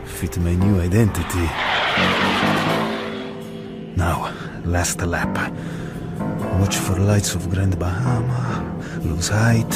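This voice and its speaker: An actor playing a greedy, gloating Italian pilot turned hijacker in a radio drama, talking in clipped phrases as if to himself. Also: male voice, lowish voice. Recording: loud music and aircraft engine behind.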